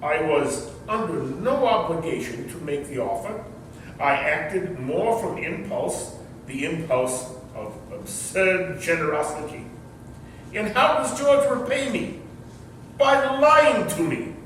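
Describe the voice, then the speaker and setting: Self-righteous rich old uncle, acted by a man, stage reading, deliberate pace, distant mic, clearly noisy room. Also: lively intonation, a bit bright. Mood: indignant. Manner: pompous, oratorical, emphatic. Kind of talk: staged readers theater performance. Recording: echoey hall from far off, rumbling noise.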